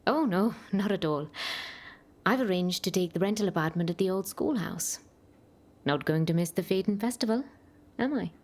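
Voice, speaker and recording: A woman's voice: medium pitch, expressive, moderate pace, a little mellow, natural voice. A woman playing a breezy, self-assured, gushing visiting aunt in a radio soap.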